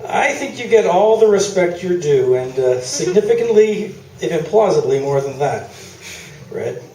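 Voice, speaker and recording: Guy playing a needling reporter, sarcastic and indignant, lively pitch, recorded far off. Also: moderate pace. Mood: sardonic. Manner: sardonic, dry wit. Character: sardonic, needling male press interviewer, indignant. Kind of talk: live stage radio play. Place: small theatre, live performance. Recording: echoey, noisy theatre recording from afar.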